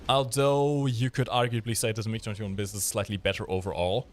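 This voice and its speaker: A man's voice: on the low side, level tone, a little fast. A man from Germany with a German accent, hosting a game livestream with casual, explanatory commentary and jokey chat.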